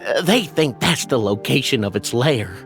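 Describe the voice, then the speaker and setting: A woman's voice: very deep voice, lively intonation, moderate pace, soft background music. A woman voicing a loyal male attendant in a fantasy video game, formal, deferential, earnest and theatrical. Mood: polite, informative. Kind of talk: animated video game character acting.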